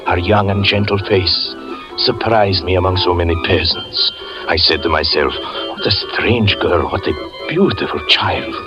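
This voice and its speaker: A brooding, deep-voiced magician. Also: male voice. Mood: solemn. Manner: slow, solemn storytelling. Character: brooding male magician and fortune teller narrating his past. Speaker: narrator. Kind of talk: 1940s radio acting. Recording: dull 1940s radio broadcast with music.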